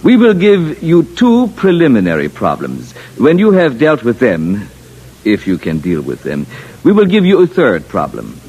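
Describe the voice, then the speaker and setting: An actor playing a courteous, smugly confident alien official in an old radio drama, speaking stiffly and precisely without contractions. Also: male voice, mid-range voice, huge pitch swings, normal speed, a little mellow, husky, heavily acted. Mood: formal, polite. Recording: muffled vintage radio broadcast.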